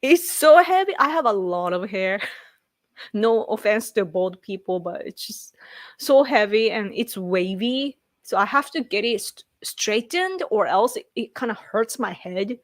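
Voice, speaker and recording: A woman's voice: mid-range voice, lively intonation, a little slow, no echo. A Japanese woman in her late thirties with a Japanese accent, chatting casually on a live stream and laughing often as she answers viewers. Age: late 30s. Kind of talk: casual chat.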